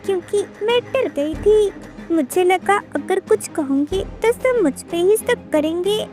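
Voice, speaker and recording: Small female cartoon bird, played by a woman: timid, hesitant, very high, music underneath. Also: animated, unhurried, dark tone. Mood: timid, confessing. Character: timid, frightened little female bird witness. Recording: music behind the voice.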